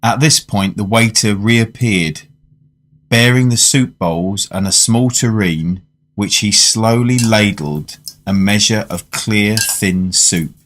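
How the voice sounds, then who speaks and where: Guy playing a polite curious gentleman telling his dinner tale in a radio play, lowish voice, formal. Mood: reflective. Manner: courteous, measured, formal wording. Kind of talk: radio drama narration and dialogue.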